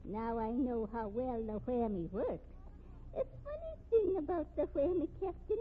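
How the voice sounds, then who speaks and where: A woman's voice: a little high, sing-song, moderate pace, slight background noise. An actress in a 1950s radio mystery playing a feeble-minded flower-selling woman, gleeful and simple, with uneducated speech. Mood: eerily pleased. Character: feeble-minded, eccentric flower-seller woman boasting of curses. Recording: tinny band-limited old radio recording.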